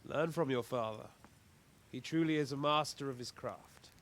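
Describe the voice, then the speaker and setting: A man's voice: mid-range voice, moderate pace, fairly bright tone, natural voice. An actor playing a polite, worldly travelling nobleman with a formal, courtly manner in a game cutscene. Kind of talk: dramatic game cutscene acting.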